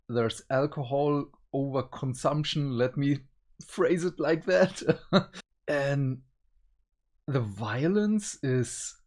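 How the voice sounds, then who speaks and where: A man's voice: mid-range voice, darkish tone. A man hosting a casual review discussion, talking in a conversational way. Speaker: review show host.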